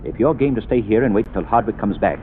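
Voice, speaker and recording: An actor playing a calm, shrewd gentleman detective in a 1930s radio drama, measured and commanding. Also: fairly low pitch, narrow, tinny audio, slight background noise, lightly acted.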